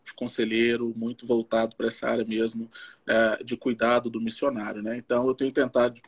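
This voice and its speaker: Husky guy, pastor talking casually on a live stream, stops and restarts a lot. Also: mid-range voice, flat delivery. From Belo Horizonte, Brazil. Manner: halting, frequent tag questions to the listener. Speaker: missionary pastor and guest. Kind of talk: informal testimony and Bible teaching. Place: live social media video call.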